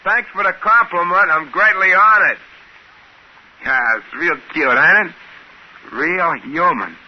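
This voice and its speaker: An actor playing a folksy, easygoing space trader who narrates in a 1950s radio drama, with an American accent and colloquial, ungrammatical speech. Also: male voice, high voice, unhurried. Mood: delighted. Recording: hissy, muffled 1950s radio recording.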